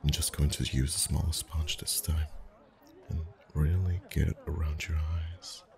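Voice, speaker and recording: A man acting as a friendly festival face painter with a very deep, mellow voice. He is soft-spoken and chatty, gently teasing and flirting as he reassures his customer in an intimate ASMR roleplay. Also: level tone, measured pace, vocal fry, full character voice. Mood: gently flirtatious. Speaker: voice actor.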